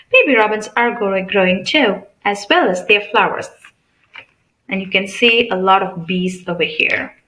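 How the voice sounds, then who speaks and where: A woman's voice: medium pitch, a bit bright, reverberant, slight background noise, poor audio. A woman reading a picture book aloud to children in a teacherly way, asking questions and explaining simply. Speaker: read-aloud channel host.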